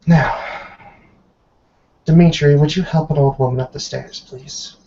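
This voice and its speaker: A man voicing a stern, commanding old woman, a tribe elder, in formal measured speech for a tabletop roleplay. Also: on the high side, fairly even intonation, warm tone, far from the mic, pitched above the performer's own voice. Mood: quietly firm. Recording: dull, lo-fi online call.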